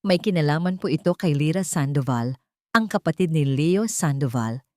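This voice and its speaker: A woman's voice: low-pitched, expressive, normal speed, dry recording. A woman narrator voicing a frightened woman who pleads politely and earnestly in a letter, with a Filipino accent. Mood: pleading.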